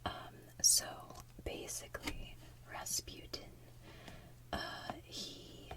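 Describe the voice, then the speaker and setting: A woman doing casual ASMR chat in a breathy whisper, speaking in an informal, chatty way. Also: takes it slowly, far from the mic. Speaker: ASMR host.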